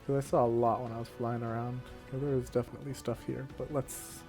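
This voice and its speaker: A man's voice: medium pitch, flat delivery, fast talker, warm tone, faint music behind. A man giving casual let's play commentary, musing to himself as he works through a game. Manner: thinks aloud, musing to himself. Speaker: gamer playing through a story quest.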